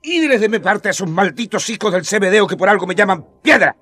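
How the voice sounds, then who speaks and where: A stubborn, hot-tempered brawler in a radio drama. His voice is very high and sharp, and he throws insults with loud defiance. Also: expressive, fast talker, breathy edge, heavily acted. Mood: sulky, defiant. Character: hot-headed, stubborn, quarrelsome fisherman.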